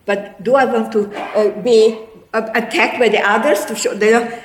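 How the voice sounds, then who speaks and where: Older lady, german first language, chatty rambling storyteller, a bit high voiced, roomy hall sound. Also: normal speed, a little hiss or hum. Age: 80s. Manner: lively, rambling, with frequent restarts. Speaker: guest speaker. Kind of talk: storytelling talk to an audience.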